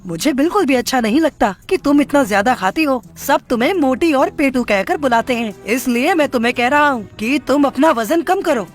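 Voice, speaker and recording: A woman's voice: high-pitched, expressive, brisk, few pauses. A woman voicing an annoyed, worried male crow husband in a cartoon story, scolding and insistent. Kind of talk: animated moral story dialogue. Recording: music bed behind the voice.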